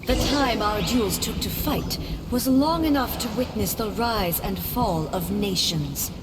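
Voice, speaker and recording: An actress playing a solemn, regal immortal guardian warrior in a video game, speaking in a formal and grave manner. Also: female voice, mid-range voice, heavy background noise, close to an everyday voice. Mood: proud, forceful. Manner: formal, grave, measured delivery. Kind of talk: dramatic video game voice acting. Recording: game music behind the voice.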